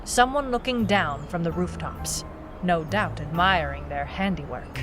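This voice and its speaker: A woman's voice: fairly low pitch, a little slow, over music, close to an everyday voice. A woman playing a wry, hard-boiled private eye, clipped and dry, in a noir detective audio drama. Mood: wry, brooding. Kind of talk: noir detective narration and radio-drama acting.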